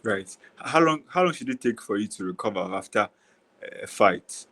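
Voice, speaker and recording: A man's voice: fairly low pitch, lively intonation, normal speed, fairly bright tone. A man with a Ghanaian accent, asking conversational questions as a sports interviewer, often repeating phrases as he forms them.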